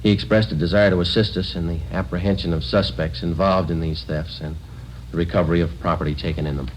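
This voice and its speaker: An actor playing a stoic, no-nonsense police sergeant in a deadpan, formal manner in a 1950s radio drama. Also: male voice, low-pitched, fast talker, natural voice. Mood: flat, formal. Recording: muffled, noisy old radio recording.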